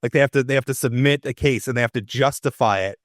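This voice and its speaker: A man's voice: medium pitch, fast talker, dry recording, studio quality. A man co-hosting a political talk show, opinionated and emphatic, often restarting his sentences. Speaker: co-host.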